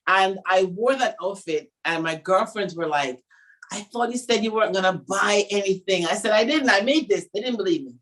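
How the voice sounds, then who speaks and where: A woman's voice: medium pitch, normal speed, low-quality recording. A middle-aged woman from Jamaica, a fashion designer, telling her story in an interview and acting out the people she quotes. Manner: animated storyteller who acts out quotes.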